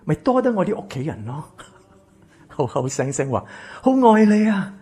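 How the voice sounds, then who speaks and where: An actor playing a wounded, embittered rich man in a Cantonese radio drama, with a Hong Kong accent and a breathy, husky voice. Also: a little high, very animated, normal speed, warm tone. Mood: bitter, anguished. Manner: intense, dramatic. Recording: music behind the voice.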